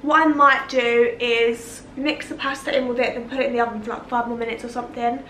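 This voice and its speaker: A chatty young woman with a high, bright-sounding voice talks to camera at home, gushing with excitement and lots of fillers. Her voice is caught close on the camera mic, with a faint hum of room noise underneath. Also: brisk. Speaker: vlogger. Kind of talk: casual cooking vlog. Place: home kitchen and living room, indoors.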